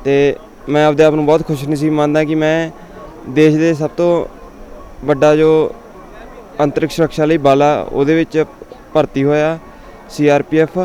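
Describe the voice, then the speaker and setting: A man with a Punjabi accent, a new recruit from Sangrur, speaking haltingly as he switches between Hindi and Punjabi. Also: fairly high pitch, flat delivery, mellow. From Sangrur, Punjab, India. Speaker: paramilitary recruit.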